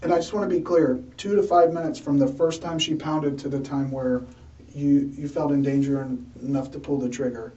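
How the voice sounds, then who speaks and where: A man's voice: a little high, steady tone, fairly quick, dark tone, echoey room. A man questioning a suspect as a police detective, hesitant, repeating himself, with many fillers, recorded in an interrogation room. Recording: muffled far-off interrogation room audio.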